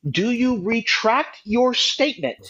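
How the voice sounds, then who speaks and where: A man's voice: very high voice, expressive, a little slow, crowd noise. A man hosting a live apologetics call-in debate, pressing his point and repeating himself to cut in. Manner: insistent, repeats himself to interrupt. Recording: dull lo-fi stream audio.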